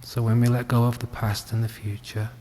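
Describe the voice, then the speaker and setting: A man leading a guided meditation, speaking gently and leaving long pauses. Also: medium pitch, little pitch movement, a little hiss or hum. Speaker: meditation teacher. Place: reverberant room at a wellness centre. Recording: long hall-like reverb.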